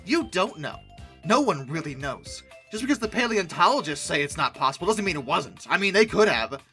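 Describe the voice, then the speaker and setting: Bright, very animated sarcastic commentator. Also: mid-range voice, fairly quick, soft background music. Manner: sarcastic, exasperated, mock-incredulous. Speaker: video host. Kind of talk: comic commentary on dinosaur art.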